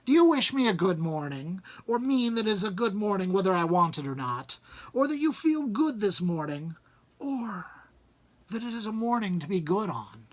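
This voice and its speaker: A man's voice: high-pitched, very animated. Man acting an old, probing, testy wizard. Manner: deliberate, questioning, pedantic.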